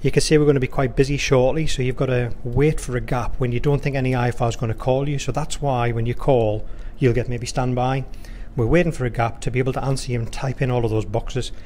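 Male air traffic controller, mid-pitched, talking through a hands-on demonstration conversationally, noticeable background noise. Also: fairly even intonation, quick pace, hardly any pauses, a little mellow. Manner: conversational, explanatory, with rattled-off radio phraseology. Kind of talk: informal instructional walkthrough. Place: indoor control room or workstation, beside a touchscreen.

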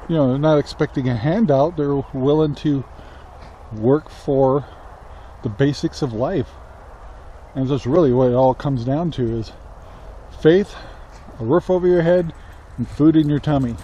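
An older American man in his sixties speaks in a soft-edged, mellow voice that sits a little high. He talks casually to a camera held at arm's length, pausing and picking his words in a plain, reflective way. There is faint outdoor noise around him. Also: dull audio. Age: 60s. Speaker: country living vlogger. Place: outdoors on a rural yard beside a metal barn.

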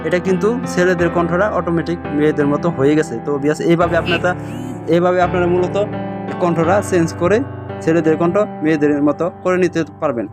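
Male tutorial host, slightly high voice, brisk delivery, repetitive step-by-step guidance, guitar music behind. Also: steady tone. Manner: repetitive, keeps checking the viewer follows. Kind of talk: step-by-step screen tutorial narration. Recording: voice over plucked guitar music. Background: plucked guitar music.

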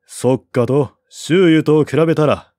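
A man's voice: on the high side, a little slow, mellow, dry recording, studio quality, pitched above the performer's own voice, lightly acted. A man voicing a scornful, irritable king in an audiobook, curt and haughty. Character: scornful, irritable male king, quick to dismiss. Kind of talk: audiobook character voice.

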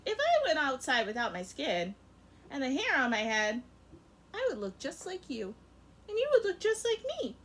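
A young woman teacher reading a picture book aloud to kindergarten children, very expressive, her loudness rising and falling sharply. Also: high voice, normal speed. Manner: expressive and animated, with big swings in loudness. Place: home room in front of a rainbow feather backdrop.

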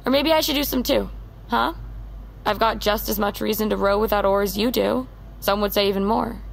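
A woman's voice: medium pitch, a little fast, gravelly, a little hiss or hum, close to an everyday voice. An actress playing a headstrong, pleading young American woman, stubborn and wounded, in a naturalistic audio drama. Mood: bitter, vulnerable. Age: young adult.

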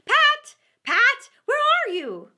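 A high, loud, scolding rabbit, fussy and bossy, barking orders at his servant, as a woman puts him on. His voice leaps around with sharp, bright force. It is a storybook reading. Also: wildly swooping pitch, drawn-out words, heavily acted. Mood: shouting. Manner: shouting, scolding.

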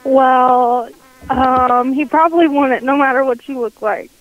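A woman's voice: high-pitched, steady tone, a little slow. A woman working as a store clerk, handling a customer over a dull, noisy telephone line. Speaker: drugstore phone clerk. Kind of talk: customer service phone talk.

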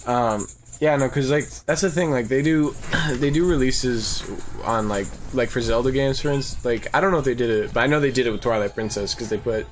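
A man's voice: medium pitch, fairly quick, slight background noise. A man giving casual, rambling and profane gaming commentary as he plays. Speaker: let's play host, playing the game. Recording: game music behind the voice. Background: video game music.

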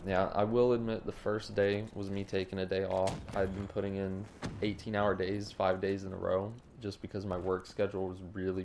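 Hoarse young man, sick and gravelly. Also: sits low, a little mellow, slight background noise. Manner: rambling, apologetic, many ums. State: sick with a sore throat. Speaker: gaming YouTuber. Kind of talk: casual voice-over commentary over gameplay. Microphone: home desk mic with a cough drop.

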